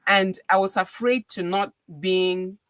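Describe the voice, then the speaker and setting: A woman's voice: medium pitch, fairly even intonation, slow pace, a bit bright, no room sound. A middle-aged woman with a Cameroonian accent, a radio DJ talking earnestly as a podcast guest and repeating phrases for emphasis. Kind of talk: casual interview conversation.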